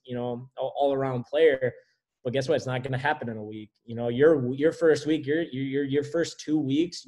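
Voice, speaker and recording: A man, a basketball skills trainer, talking casually as an interview guest, rambling with many restarts. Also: medium pitch. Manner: rambling, many fillers and restarts, flat delivery. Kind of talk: casual conversation.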